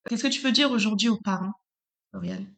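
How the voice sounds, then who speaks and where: Woman podcast host, heavy vocal fry, hesitant questions with fillers. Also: medium pitch, brisk. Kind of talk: interview questions.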